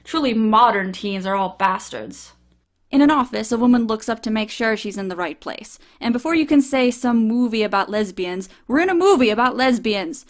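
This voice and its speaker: A woman's voice: fairly high pitch, lively intonation, brisk, hardly any pauses. A woman reviewing an old film in a sardonic, casually profane comedic style.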